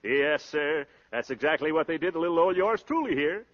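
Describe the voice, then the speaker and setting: An actor playing a brash, boastful young suitor in a 1930s radio comedy, talking in pompous, florid slang. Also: male voice, a little high, expressive, brisk, a bit bright. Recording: dull, lo-fi 1930s radio recording.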